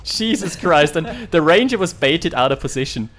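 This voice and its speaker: A man casting a strategy game final in a lively, joking way, quick to react to big plays. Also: on the high side, soft background music. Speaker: esports caster. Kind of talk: casual game commentary.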